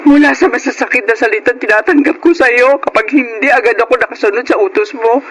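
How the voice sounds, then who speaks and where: A woman's voice: a little high, fast talker, a crowd in the background, close to an everyday voice. A woman with a Filipino accent acting a long-suffering, betrayed wife in an emotional Tagalog radio drama. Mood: anguished, accusing. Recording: noisy radio drama recording.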